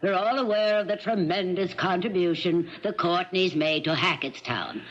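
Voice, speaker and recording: An actress playing a brisk, authoritative female attorney formally questioning a witness in a 1970s radio drama. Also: on the low side, slight background noise, close to an everyday voice. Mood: stern, formal. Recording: dull lo-fi 1970s radio recording.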